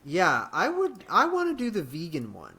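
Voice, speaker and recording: A man's voice: high voice, wildly swooping pitch, moderate pace, pushed and bright, slightly rough. A man chatting casually as a podcast co-host, easygoing and a little hesitant as he gets going. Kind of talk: casual chat.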